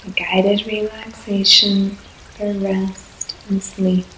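A woman guides a yoga nidra session at a very slow, soothing pace, leaving long pauses between short phrases. Her voice stays flat and even throughout. The recording has a roomy echo with faint noise underneath. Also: mid-range voice. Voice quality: soft, soothing, unhurried. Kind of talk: guided relaxation meditation. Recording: echoey room, faint background noise.

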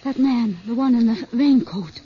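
An actress playing a watchful, uneasy young wife in an urgent old radio drama style. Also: female voice, fairly high pitch, normal speed, breathy edge. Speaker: radio actor. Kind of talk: 1950s radio drama acting. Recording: dull, slightly noisy vintage radio broadcast.

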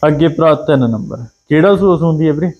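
A man with a Punjabi accent hosting a livestock sale video outdoors on a dairy farm, running through each cow's traits in quick rhyming checklists. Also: fairly high pitch, lively intonation, slightly rough, lo-fi sound. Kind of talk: casual livestock sales commentary.

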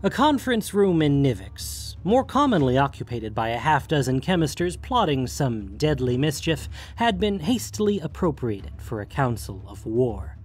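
A man's voice: mid-range voice, very animated, moderate pace, hardly any pauses, breathy edge. A man reading scripted fantasy story narration. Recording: music bed under voice. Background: background music.

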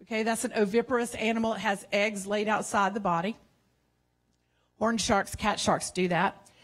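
An older woman gives a relaxed science cafe lecture to a seated crowd. She talks in a chatty, playful way with frequent self-corrections. Her voice comes through a handheld microphone in a large museum hall. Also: mid-range voice, normal speed. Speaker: marine educator giving a public science talk.

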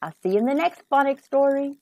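A woman reading a rhyming storybook to children in an expressive, sing-song, carefully enunciated way. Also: high-pitched, quick pace.

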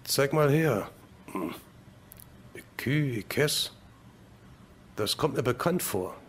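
A man's voice: medium pitch, expressive, slow, fairly bright tone. A man plays a loyal crime-fighting agent in a radio drama. His voice sits mid-range, casual and reassuring like a buddy's, with slight noise behind it. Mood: casual, decisive. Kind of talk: amateur German radio play acting.

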